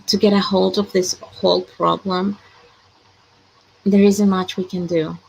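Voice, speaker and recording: A woman's voice: medium pitch, flat delivery, unhurried, vocal fry, a little mellow, husky, lo-fi sound. A woman from Ecuador, hosting a casual live chat in a worried, hesitant way, sighing often.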